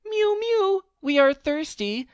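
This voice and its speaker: Man doing shrill, squabbling storybook cats. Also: sing-song, slow pace, no echo, muffled recording, full character voice. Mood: plaintive. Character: crowd of vain squabbling mewing cats.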